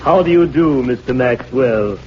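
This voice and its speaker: An actor playing an aging, hammy out-of-work actor in a 1940s radio comedy, pompous, eager and formal. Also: male voice, medium pitch, lively intonation, unhurried, lightly acted. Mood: eager, self-promoting. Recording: noisy, dull 1940s radio recording.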